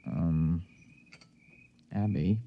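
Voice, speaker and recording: A man's voice: deep voice, fairly even intonation, drawn-out words, exaggerated voice. An actor playing an educated, condescending academic in a 1950s radio drama, stammering and impatient in his delivery. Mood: hesitant, soft. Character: educated, excitable, condescending male academic researcher. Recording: muffled vintage radio recording.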